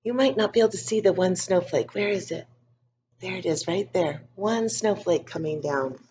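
A woman hosting a read-aloud for young children, reading a picture book expressively and deliberately with chatty asides. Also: on the low side, mellow. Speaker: school read-aloud host.

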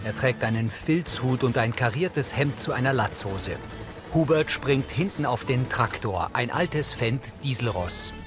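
Casting: male audio description narrator, mid-pitched, plain scripted delivery, clear music behind. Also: expressive, measured pace. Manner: neutral, factual. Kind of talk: scripted narration, present-tense scene description.

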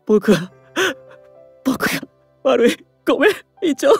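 Man acting sobbing, breathy young man. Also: extremely high pitch, sing-song, a little slow, dry recording, faint music behind, exaggerated voice. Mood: tearful remorse. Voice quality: breathy, broken voice. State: crying. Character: gentle, guilt-ridden young man. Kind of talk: Japanese voice drama acting.

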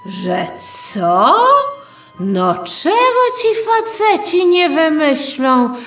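A woman's voice: high voice, sing-song, very slow, echoey room, soft background music, pitched above the performer's own voice, heavily acted. A woman voicing a wry, exasperated female teacher in a comic skit. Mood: indignant.

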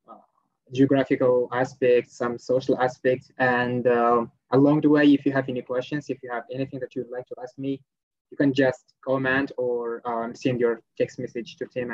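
A man's voice: mid-range voice, level tone, normal speed, no room sound. Young guy from morocco on a video call, lots of ums and restarts, dull laptop mic. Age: young adult. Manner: hesitant, many fillers and restarts. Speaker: guest presenter, language teaching assistant. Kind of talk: live online presentation. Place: small room at home, indoors. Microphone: laptop webcam, video call.

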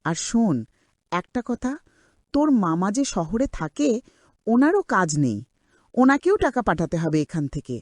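A woman's voice: medium pitch, big pitch swings, moderate pace, long pauses, no room sound, close to an everyday voice. A woman playing a cruel aunt in a cartoon drama, scolding and sarcastic in a harsh, nagging manner. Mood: demanding. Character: cruel, scolding aunt bullying her orphaned niece.

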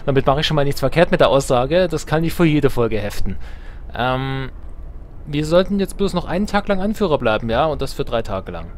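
A man's voice: fairly high pitch, slight background noise. A man hosting a let's play, commenting casually on the game with self-ironic, playful asides and reading game text aloud. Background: game music.

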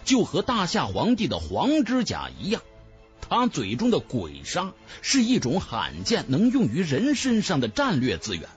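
A man's voice: fairly high pitch, huge pitch swings, moderate pace, lo-fi sound. A man narrating a fantasy novel as a dramatic scripted audiobook. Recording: music bed under the voice.

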